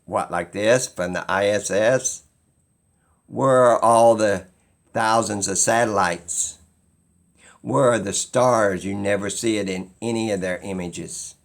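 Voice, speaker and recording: A man's voice: fairly low pitch, slow, vocal fry. A man giving an unscripted opinion monologue as a video creator, rambling and insistent, repeating phrases for emphasis.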